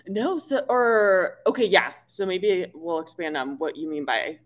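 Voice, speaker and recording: A woman from Missouri with a deep voice, a guest chatting casually in an interview, a little nervous and laughing easily. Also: lively intonation, takes it slowly. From Missouri, USA. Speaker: guest, bikini athlete.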